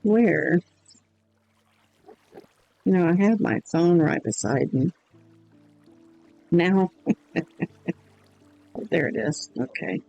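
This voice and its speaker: A man with a slightly high voice talks unhurriedly while he plays, his hoarse voice cutting in and out. He rambles with many pauses and ums. Faint music plays behind him. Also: lively intonation, darkish tone, dull audio. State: hoarse, voice going in and out. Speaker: streamer. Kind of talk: casual gaming stream chat.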